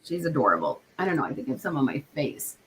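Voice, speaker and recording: A woman with a naturally low voice, worn rough and hoarse by a chest cold. She chats casually as a podcast co-host, rambling, giggling and trailing off mid-thought. A faint hiss of noise sits under her voice. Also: sing-song, fast talker, a bit bright, low-quality recording. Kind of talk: casual chat.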